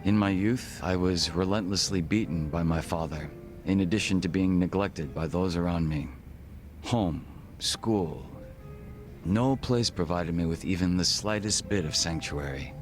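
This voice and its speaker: An actor playing a composed, formal gangster second-in-command in a video game cutscene, measured and reserved. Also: male voice, low voice, over music. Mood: calm, formal.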